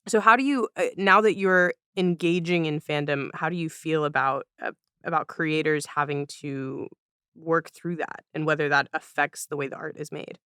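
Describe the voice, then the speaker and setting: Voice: medium pitch, normal speed, bright, forceful tone, clean tone, no room sound, clean studio sound. A podcast host interviewing casually, phrasing thoughts in a tentative, reflective way.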